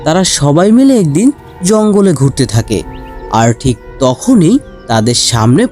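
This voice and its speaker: A man's voice: a little high, big pitch swings, moderate pace, darkish tone. Male storyteller with music behind. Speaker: narrator. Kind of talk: scripted fairy tale narration.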